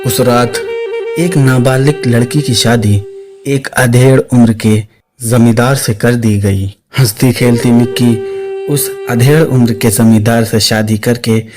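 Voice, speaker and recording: Slow male story narrator over music. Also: mid-range voice, steady tone. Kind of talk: scripted suspense story narration. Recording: clear music under the voice.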